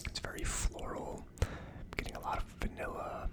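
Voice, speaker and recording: A person whispering in a hushed, unvoiced way, hosting a casual ASMR tasting review. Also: moderate pace. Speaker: host of an ASMR whiskey tasting show.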